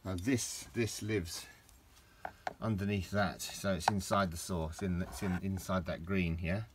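A middle-aged man with a low voice, rambling through a casual hands-on garden demonstration with lots of restarts. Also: fairly even intonation, a little slow, noisy background. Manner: rambling, with many restarts and fillers. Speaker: DIY vlogger. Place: outdoors in a garden.

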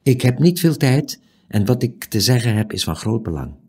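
A man's voice: mid-range voice, big pitch swings, normal speed, husky. Man doing an earnest polite young squire. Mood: earnest pleading. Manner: earnest, soft-spoken, polite.

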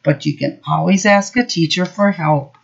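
A woman voicing a patient, reassuring mother mouse in a picture-book story, in a gentle, instructive way. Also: on the low side, moderate pace, gravelly, slightly rough, muffled recording.

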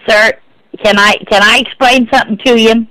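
A woman's voice: fairly high pitch, fairly even intonation, normal speed, pushed and bright, no room sound. A middle-aged woman, speaking insistently and repeating herself in exasperation on a phone call. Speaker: prank call victim. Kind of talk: phone conversation.

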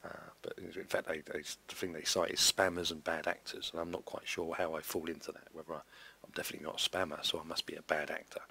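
Hoarse gravelly man, exasperated. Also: deep voice, pushed and bright. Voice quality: gravelly, husky. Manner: rambling, exasperated, many restarts. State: hoarse, recovering from flu. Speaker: YouTuber. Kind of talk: casual screen-recorded commentary.

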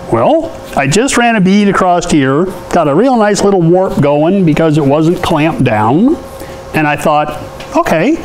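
Folksy middle-aged man, higher-pitched voice. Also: darkish tone, slight background noise, low-quality recording. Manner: folksy, conversational. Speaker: artist and welding tips host. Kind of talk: casual hands-on tutorial. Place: indoor cluttered metal workshop.

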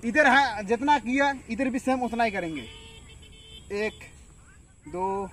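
A man's voice: very high voice, expressive, takes it slowly, fairly bright tone. A young man in his twenties demonstrating a home workout in a field, counting reps aloud while he lifts, with many fillers. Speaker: fitness instructor. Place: open farm field outdoors. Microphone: camera at a distance. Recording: distant outdoor camera audio, faint noise.

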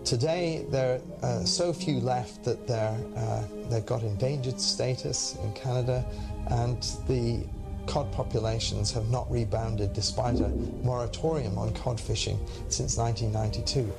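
Creaky-voiced man over loud music. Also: mid-range voice, steady tone, measured pace. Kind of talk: explanatory talk.

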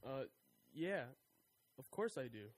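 A man's voice: medium pitch, big pitch swings, takes it slowly. A young man voicing a cocky, wisecracking slacker who talks in laid-back slang. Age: young adult. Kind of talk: audio drama acting and narration.

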